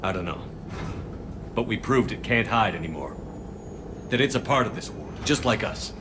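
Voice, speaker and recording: An actor playing a steady, resolute male commander with a low, understated delivery. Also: moderate pace, natural voice. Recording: game audio with clear background noise.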